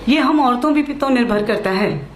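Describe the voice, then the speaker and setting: A woman from Maharashtra gives a speech at an event hall, slipping English phrases into her Hindi and repeating lines for emphasis. Her voice sits fairly high. It rings through a roomy hall over a faint murmur behind her. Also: normal speed, a little hiss or hum. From Maharashtra, India. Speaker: lawyer giving a speech at a function. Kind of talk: public speech.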